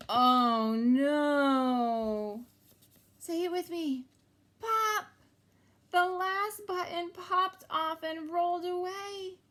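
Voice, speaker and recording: High-pitched woman reading slowly to children. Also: fairly bright tone. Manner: sing-song, exaggerated expression, addressing children. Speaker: kindergarten teacher reading aloud. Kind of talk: animated picture-book read-aloud for young children.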